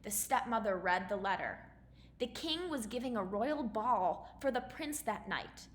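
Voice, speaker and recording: A woman's voice: a little high, animated, unhurried. A woman speaking as an elementary teacher, explaining and reading aloud in simple, instructive phrases for children. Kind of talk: explanatory lesson and storybook read-aloud. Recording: roomy echo, faint background noise.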